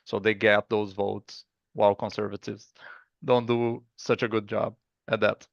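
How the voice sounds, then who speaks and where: Man, Brazilian accent, interview guest, halting speech, monotone, dull lo-fi audio. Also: lowish voice, creaky, dry recording. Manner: halting, stops and restarts, says 'like' often. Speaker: guest artist. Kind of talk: casual interview chat.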